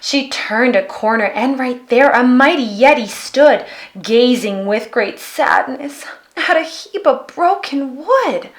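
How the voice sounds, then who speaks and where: A woman reading a children's picture book aloud with expressive narration. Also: high-pitched, slow pace. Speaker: storybook reader.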